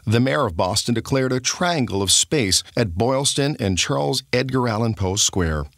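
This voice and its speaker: A man's voice: medium pitch, animated, normal speed, fairly bright tone, dry recording, clean studio sound. A man reading scripted documentary-style podcast narration.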